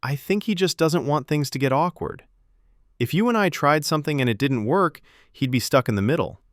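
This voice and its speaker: Man voicing Emma, a young actress, lifted pitch. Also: expressive, brisk, dry recording, clean studio sound, close to an everyday voice. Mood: covering, careful. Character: Emma, young actress, defensive and quietly confused.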